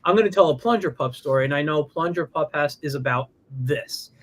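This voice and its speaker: A man hosting a casual interview over a livestream, friendly and halting, often repeating words as he starts sentences. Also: fairly high pitch, a bit bright. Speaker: host. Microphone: remote livestream call.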